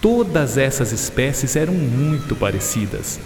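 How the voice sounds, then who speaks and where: An actor playing a patient, earnest English gentleman naturalist who explains things and drops in English interjections, in an educational radio drama. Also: medium pitch, sing-song, unhurried, slight background noise. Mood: earnest, explanatory. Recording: reverberant hall sound with music underneath.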